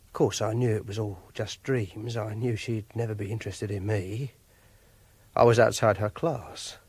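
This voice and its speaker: Husky, awkward English clerk confiding obsessions. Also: male voice, fairly low pitch, steady tone, a little mellow, dry recording. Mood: bitter, resigned. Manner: hesitant, halting, confiding. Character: obsessive, socially awkward lonely English clerk, self-pitying. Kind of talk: first-person radio drama monologue.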